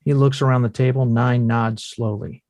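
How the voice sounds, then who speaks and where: A man's voice: mid-range voice, steady tone, moderate pace, darkish tone, dry recording. Man reading stage directions, dry sound. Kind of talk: scripted narration.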